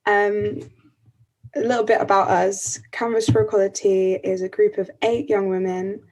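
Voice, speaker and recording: An eighteen-year-old woman from Kent leads a panel discussion over a video call. She speaks in a friendly, chatty way with many ums. Her voice sits at a middle pitch. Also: little pitch movement, normal speed, darkish tone, poor audio. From Kent, England. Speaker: panel moderator. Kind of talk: casual panel introduction.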